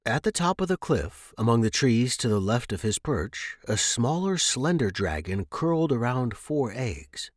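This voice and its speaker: A man's voice: medium pitch, sing-song, unhurried, hardly any pauses, dry recording. Man narrating a story, very dry sound.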